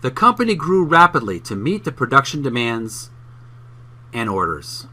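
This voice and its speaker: Lively, bright-voiced man reading aloud. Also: mid-range voice, normal speed. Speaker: host reading a book aloud. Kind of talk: book narration for a video channel.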